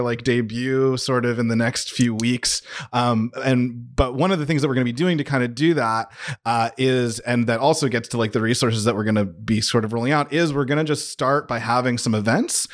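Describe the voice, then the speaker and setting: A man speaking as an interview guest in a casual, informal way, enthusiastic and rambling. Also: mid-range voice. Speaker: organization representative, interview guest.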